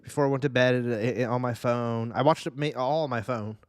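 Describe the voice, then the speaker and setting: A man's voice: medium pitch, steady tone, fairly quick, no room sound. Casual, sweary man chatting, dry sound. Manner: profane, informal. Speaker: podcast co-host. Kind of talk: casual chat.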